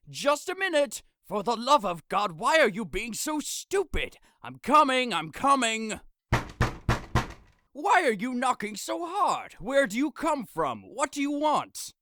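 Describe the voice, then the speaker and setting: A man's voice: extremely high pitch, bright, forceful tone, no echo, studio quality, heavily acted. A man playing an exasperated, scolding priest in a modern-language Shakespeare audio drama, speaking emphatically. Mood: panicked, urgent.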